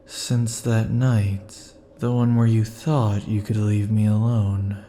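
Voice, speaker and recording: Casting: a man playing a clingy, possessive immortal male lover; hushed, sighing, unhurried delivery, dark mellow tone. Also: lowish voice, little pitch movement, slight background noise. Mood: tender possessive. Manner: soft, sighing, lingering murmur. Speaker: ASMR roleplay performer. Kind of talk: ASMR roleplay.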